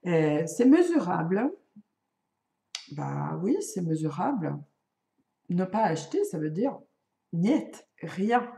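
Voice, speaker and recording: A middle-aged woman with a mellow, gravelly voice who is not a native French speaker. She chats to her viewers in a relaxed, self-mocking way, often pausing to hesitate. Her voice carries the echo of the room around her. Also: fairly low pitch. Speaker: knitting and crochet vlogger. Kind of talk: casual chat to camera.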